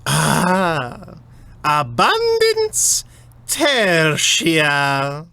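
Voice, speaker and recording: A man acts out a genial but menacing commander in a sci-fi audio drama. He speaks slowly and theatrically, high in pitch, savouring his formal phrases. The voice comes bright and tinny through a radio grille. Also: wildly swooping pitch, gravelly, no room sound, exaggerated voice. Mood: commanding, jovial. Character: genial, theatrical, commanding male Inquisitor, slyly amused. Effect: tinny vox grille radio filter. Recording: tinny radio speaker effect, some noise.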